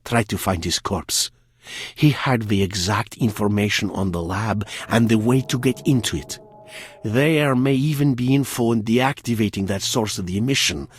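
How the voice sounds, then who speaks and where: A man playing an earnest, formal scientist in a video game, speaking in a measured, explanatory way. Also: lowish voice, natural voice. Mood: grave briefing. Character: male scientist, earnest, urgent, formal lab researcher.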